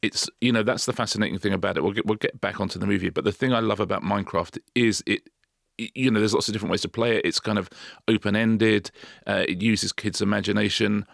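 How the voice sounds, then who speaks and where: Man, podcast co-host, wry quips, low pitch, dry close sound. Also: steady tone, fast talker, gravelly, a bit bright, no room sound. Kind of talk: casual chat.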